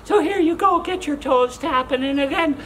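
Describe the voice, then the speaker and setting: A woman's voice: normal speed, a little hiss or hum. Scandalized woman gossiping. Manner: scandalized gossiping, pious and scolding, dropping low for asides. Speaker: comedy show host. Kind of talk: comic monologue.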